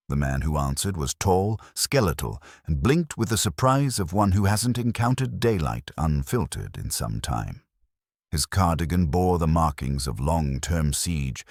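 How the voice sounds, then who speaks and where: A man narrates a detective mystery in a very low, deep voice. His delivery is dry and literary, measured yet melodically varied, with a close, dry sound. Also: no room sound.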